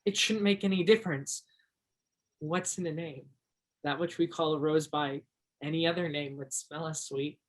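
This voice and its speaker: An actor in a stage play as an earnest, romantic teenage high school boy with a theatrical way of talking. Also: fairly even intonation, normal speed, close to an everyday voice. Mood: pleading.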